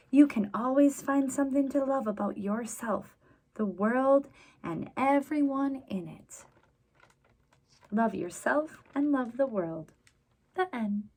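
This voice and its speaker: A woman's voice: a little high, expressive, slow pace, a little mellow. A woman hosting a children's story time, reading aloud and talking to young listeners in a sing-song, encouraging, exaggerated way. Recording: clear background noise.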